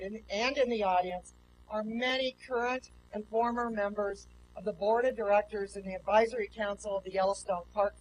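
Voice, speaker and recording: A woman giving a formal welcome speech and introducing guests as host of a ceremony. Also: mid-range voice. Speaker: park superintendent, ceremony host. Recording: noisy live outdoor event feed.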